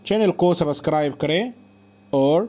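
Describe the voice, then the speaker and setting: Male narrator, scripted moral tale, fairly high pitch, very mellow tone, dull lo-fi recording.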